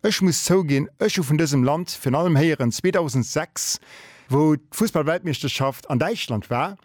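A man's voice: fairly high pitch, moderate pace, no room sound, studio quality. A man with a Luxembourgish accent hosting a podcast, reading a scripted introduction and then asking curious questions with some restarts. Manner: curious, hesitant in English with restarts. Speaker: podcast host.

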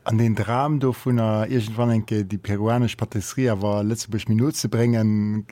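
A man's voice: on the low side, fairly even intonation, moderate pace, dark tone, no room sound. A man, hosting a casual interview with short questions and brief replies.

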